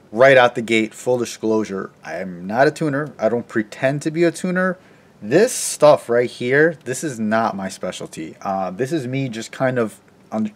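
A man in his thirties with a hoarse, sniffly voice, chatting casually to a handheld camera in his home garage and car, rambling and self-deprecating. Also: medium pitch. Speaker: car vlogger. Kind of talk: casual how-to vlog talk to camera. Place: home garage, then inside a parked car. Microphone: handheld camera at arm's length.

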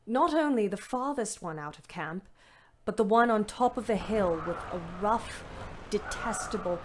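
An actress playing a weary, bitter young ambulance driver, narrating a Great War audio drama in a wry, dramatic way. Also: female voice, medium pitch, background noise, close to an everyday voice. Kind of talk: first-person audio drama monologue.

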